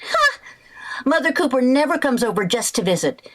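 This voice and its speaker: A woman playing an excitable, scheming, flighty housewife in a 1940s-style radio comedy, theatrical and full of exclamations. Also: high voice, moderate pace, heavily acted. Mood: exasperated. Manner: theatrical, exclamatory, mock-innocent. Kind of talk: 1940s radio sitcom acting.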